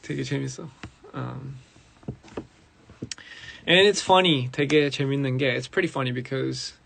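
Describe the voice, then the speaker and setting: A young man with a raspy, hoarse voice, chatting casually as a musician on a live stream and switching between English and Korean. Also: medium pitch, steady tone, quick pace, a bit bright. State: hoarse after a recording session.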